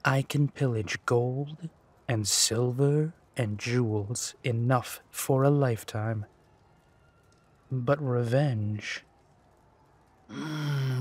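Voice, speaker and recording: Audio drama villain, played by a man: ruthless, coolly mocking, husky, slow and deliberate. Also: medium pitch. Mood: cool menace. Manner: slow, deliberate, menacing delivery.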